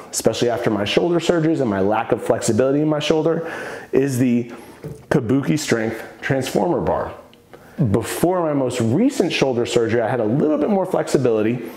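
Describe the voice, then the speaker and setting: A man's voice: medium pitch, darkish tone. Male, 30s, fitness coach, mid-range voice, casual and enthusiastic, echoey gym room. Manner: enthusiastic, casual, opinionated about gear. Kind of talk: casual home gym tour to camera. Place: home gym in a basement room.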